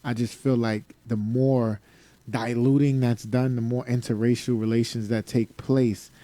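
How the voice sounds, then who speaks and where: Mellow-voiced man, dry close sound. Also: medium pitch, level tone, unhurried, dry recording. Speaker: podcast co-host. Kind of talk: casual podcast discussion.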